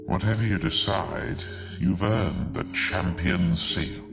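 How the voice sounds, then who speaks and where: Game villain: very low, gravelly, unhurried, mocking menace. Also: male voice, steady tone, heavily acted. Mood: condescending. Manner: slow, deliberate, mocking. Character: sinister male villain, smooth, taunting menace. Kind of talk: video game cutscene acting. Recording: loud music under the voice.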